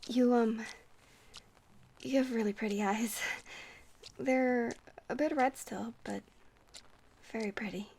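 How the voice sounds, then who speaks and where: A woman's voice: a little high, fairly even intonation, slow, vocal fry, pauses often, a little hiss or hum. A woman playing a shy, friendly vampire who rambles hesitantly and flirts sweetly in an ASMR roleplay. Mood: flustered, tender. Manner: hesitant, rambling, soft-hearted, awkwardly stammering.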